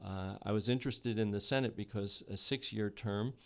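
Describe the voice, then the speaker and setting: A middle-aged man from New Jersey talks through a relaxed podcast interview. His voice is low and gravelly, and he is measured and unhurried, stopping often with fillers and restarts. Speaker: podcast guest, economic development board chair. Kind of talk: casual interview chat.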